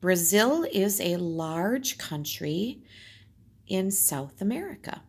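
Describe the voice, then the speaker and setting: A woman's voice: mid-range voice, big pitch swings, slow, a little mellow, slightly rough. A woman teacher reading aloud and explaining in simple phrases, as in a storytime lesson.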